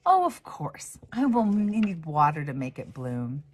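A woman's voice: deep voice, very animated, unhurried, slightly rough, exaggerated voice. A woman voicing a hopeful, determined little girl in a storybook, earnest and eager.

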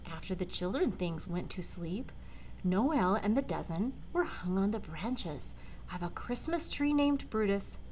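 An older woman, a grandmother, reading a children's storybook aloud with dramatic, deliberate phrasing. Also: medium pitch, a little hiss or hum, low-quality recording. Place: large living room at home.

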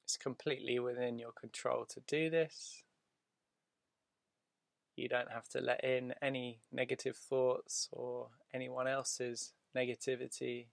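A man leading a guided meditation in a soothing, deliberate way, leaving drawn-out pauses between short phrases. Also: medium pitch, flat delivery, gravelly, dry recording.

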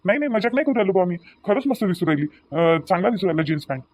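A man's voice: sits high, expressive, racing, darkish tone. A man voicing a young newlywed husband in a cartoon, doting and a little impatient, coaxing insistently in a Varhadi Marathi accent from Vidarbha. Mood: reassuring. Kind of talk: cartoon dialogue acting in colloquial dialect.

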